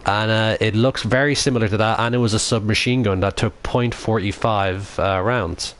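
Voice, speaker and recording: Low-voiced Irish gamer chatting live. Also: male voice, steady tone, normal speed, fairly bright tone. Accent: Irish, Dublin. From Ireland. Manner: rambling, swears often, reads chat aloud. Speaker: livestreaming gamer. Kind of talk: casual live commentary while playing.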